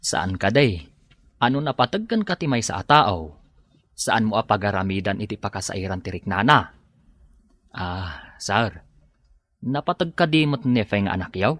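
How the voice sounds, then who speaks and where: A man's voice: on the low side, very animated, moderate pace, long pauses, fairly bright tone, no echo. A man playing a devout, respectful servant who counsels his employer in an Ilocano radio drama. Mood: respectful, probing.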